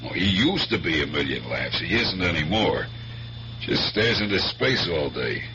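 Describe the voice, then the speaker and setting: An actor playing a breezy, nosy police lieutenant in a 1970s radio drama, chatty and needling in a casual way. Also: male voice, low voice, very animated, moderate pace, exaggerated voice. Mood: breezy, idle. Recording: muffled 1970s radio broadcast with loud hiss.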